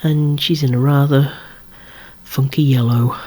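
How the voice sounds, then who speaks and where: ASMR collector-presenter, androgynous voice. Whispered, hushed delivery at medium pitch, with gently wry asides. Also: steady tone, unhurried. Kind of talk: whispered ASMR show-and-tell of collectible toys.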